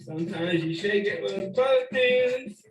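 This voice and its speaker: Slow-paced man guiding young children, roomy echo. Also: sits high, lively intonation, music in the background. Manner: simple step-by-step phrasing with questions and pauses for the child. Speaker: children's story time host. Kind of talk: children's cooking demonstration, speaking directly to young viewers.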